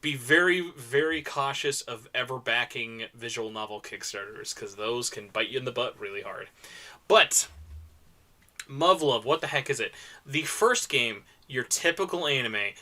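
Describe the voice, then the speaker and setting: A man's voice: fairly high pitch, bright, forceful tone. A man giving an enthusiastic, informal panel talk full of recommendations, stressing points by repeating words and using casual slang. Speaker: convention panel presenter.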